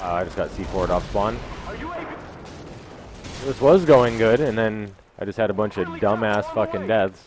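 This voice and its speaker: A man's voice: fairly low pitch, moderate pace, long pauses, noisy background. A young man giving casual, foul-mouthed live gameplay commentary as a video host, talking into a headset mic. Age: young adult. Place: small room indoors. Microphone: gaming headset mic. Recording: loud game gunfire behind voice.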